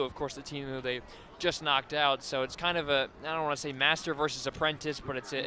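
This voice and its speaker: A man giving color commentary and analysis on a live soccer broadcast. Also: a little high. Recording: loud crowd noise over commentary. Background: loud stadium crowd.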